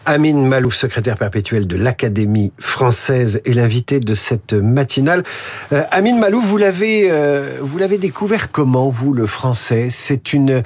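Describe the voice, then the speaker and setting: A man's voice: medium pitch, lively intonation, moderate pace, darkish tone, slightly rough. A man hosting a radio morning show, asking formal, elaborate interview questions.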